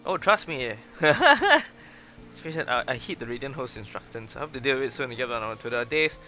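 Animated, bright-voiced young man streaming. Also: medium pitch, fairly quick, faint music behind, rough recording. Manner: excitable, chatty, exclaiming. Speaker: gaming streamer. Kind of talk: live game playthrough commentary, reading dialogue aloud.

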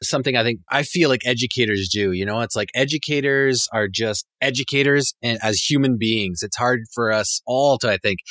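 A man's voice: fairly low pitch, fairly bright tone, no echo. A man co-hosting a teaching podcast, thoughtful and loosely phrased with small hesitations. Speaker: podcast co-host. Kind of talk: casual interview chat.

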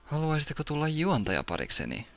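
A man's voice: a little high, wildly swooping pitch, vocal fry, heavily acted. A man playing a suave, sarcastic young cook with mock-polite theatrical manners in an anime parody dub. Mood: dry politeness. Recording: loud music behind the voice.

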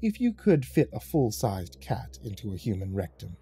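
A man's voice: on the low side, huge pitch swings, normal speed, mellow, husky, faint music behind, heavily acted. A man voicing a mocking, sadistic devil in the shape of a cat, theatrical and taunting, in an audiobook story.

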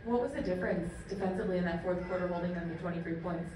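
A woman's voice: lowish voice, very fast, warm tone. A woman reporter putting questions at a press conference. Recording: very echoey room, distant, faint noise.

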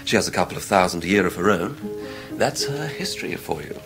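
A young upper-class English gentleman in a radio play, charming and arrogant. His lowish voice ranges widely as he teases, with music behind. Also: expressive, fairly quick, gravelly. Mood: teasing, languid. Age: young adult. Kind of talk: 1990s radio drama acting.

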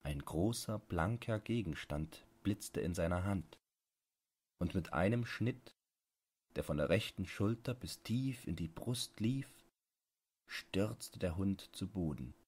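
Guy reading a classic story for bedtime, deep voice, takes his time, measured. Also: expressive, a little mellow, breathy edge. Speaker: audiobook narrator. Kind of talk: literary scripted narration, bedtime audiobook.

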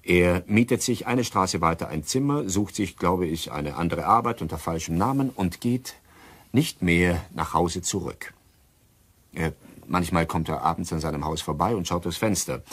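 An actor playing a bookish doctor who muses hesitantly as an amateur sleuth in a German crime radio drama. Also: male voice, low-pitched, slightly rough. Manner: hesitant, musing, storytelling.

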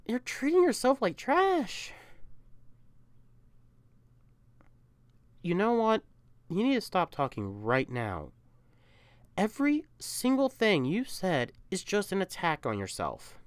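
A woman's voice: mid-range voice, wildly swooping pitch, unhurried, dry recording, pitched above the performer's own voice. A woman voicing an affectionate, protective young catperson comforting a lover in a roleplay audio, tender, coaxing and emphatic. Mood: upset, pleading.

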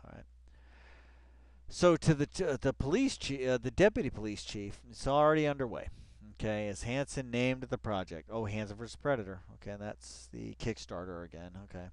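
A man's voice: medium pitch, animated, normal speed, clear, resonant tone, dry recording. A man reading an article aloud with casual, profane commentary, muttering asides and repeating phrases in an exasperated way. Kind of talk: rambling read-along commentary, casual and profane.

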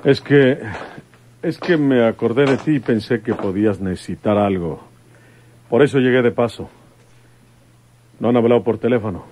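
An actor playing a flirtatious, folksy rural detective in a radio soap, with a Mexican accent and a colloquial, coaxing manner. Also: male voice, medium pitch, moderate pace, pauses often, a little mellow, a little hiss or hum, natural voice. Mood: casual. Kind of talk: Spanish-language radio soap acting.

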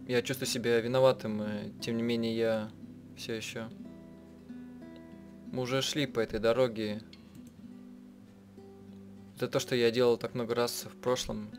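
A man narrating a visual novel playthrough as a live translator, haltingly, rephrasing as he goes. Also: mid-range voice, level tone, faint music behind. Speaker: let's-play narrator and translator.

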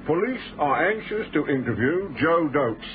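An actor playing a chatty, overfamiliar British commercial traveller in a radio drama, glib and hearty with matey old-chap phrasing. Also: male voice, on the high side, very animated, normal speed, phone-quality sound. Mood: insinuating, relish. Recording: thin band-limited old radio recording, noisy.

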